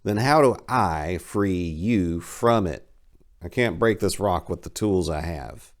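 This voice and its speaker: A man's voice: low voice, lively intonation, takes it slowly. A man voicing an eager male academic who asks pressing questions, recorded on a lapel mic.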